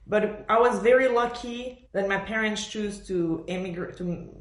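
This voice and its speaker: A woman raised in Montreal talks as a podcast guest in an echoey room. Her pitch sits in the middle for a woman. She tells personal stories in a conversational way, voicing the remarks of others as she goes. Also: measured pace. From born in Argentina, raised in Montreal, Canada. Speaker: podcast guest, professional orchestral bassoonist. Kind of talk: conversational interview storytelling.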